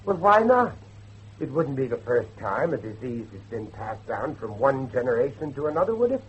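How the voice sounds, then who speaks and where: An actor playing a grave, grieving father who believes in devilry, speaking emphatically with dramatic pauses in a horror drama. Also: medium pitch, very animated, husky, muffled recording. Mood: insistent. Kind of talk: dramatic horror dialogue acting.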